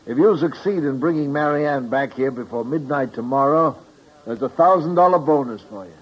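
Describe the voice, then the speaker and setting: An actor playing a well-to-do, formal gentleman in a 1950s radio drama, speaking politely and in measured phrases. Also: mid-range voice, natural voice, low-quality recording. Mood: polite, businesslike. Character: unhurried, courteous, well-to-do gentleman client, man.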